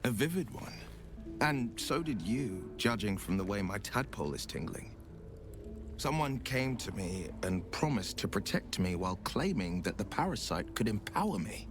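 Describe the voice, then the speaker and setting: A man's voice: fairly low pitch, sing-song, normal speed, music in the background. A man playing a gallant, roguish adventurer in a video game, talking with eloquent, theatrical flourish. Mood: wary, firm.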